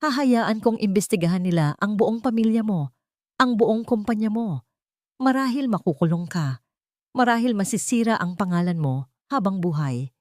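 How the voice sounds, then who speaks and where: A woman voicing a calm, firm male millionaire bent on revenge, speaking with deliberate weight in an audio story. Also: mid-range voice, no room sound, studio quality, voice pushed up, lightly acted. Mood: stern. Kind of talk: dramatic audio story dialogue.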